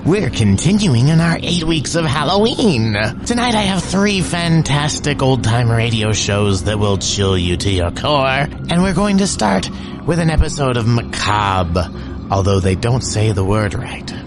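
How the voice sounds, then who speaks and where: A man's voice: medium pitch, very animated, measured pace, music in the background. A man hosting a podcast who introduces old-time radio episodes in a playful, upbeat manner. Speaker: podcast host. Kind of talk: casual show introduction.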